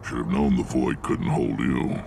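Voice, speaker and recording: An actor playing a hulking veteran alien clan chief in a video game, gruff and hearty, with a rumbling voice. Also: male voice, steady tone, a little slow, a little mellow, full character voice. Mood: hearty, commanding. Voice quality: deep gravelly rumble.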